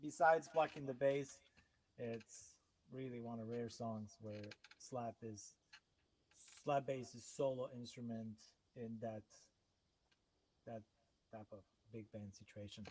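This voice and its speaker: A man from Serbia, a native Serbian speaker, hosting a casual livestream chat in a halting way, with many restarts and fillers. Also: medium pitch, a little mellow, husky, poor audio. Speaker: host.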